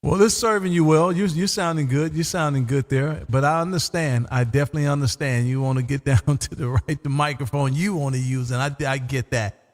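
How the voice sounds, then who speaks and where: A man hosting a live ham radio stream, chatting casually and folksy, his voice going hoarse. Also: on the high side, fairly quick, long reverb tail. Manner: laid-back, folksy, says "man" often. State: losing his voice. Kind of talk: casual on-air radio chat.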